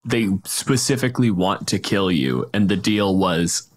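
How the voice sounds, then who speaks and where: A man's voice: low voice, lively intonation, unhurried. A man in a casual panel discussion about tabletop games, explaining things at length in vivid descriptions. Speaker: podcast panelist.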